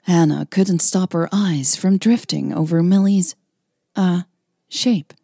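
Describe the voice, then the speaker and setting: Soft-edged, low-pitched woman storyteller. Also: takes it slowly, creaky, breathy edge, no room sound, clean studio sound. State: tired. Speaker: podcast host and audio story narrator. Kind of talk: scripted romance narration and casual podcast outro.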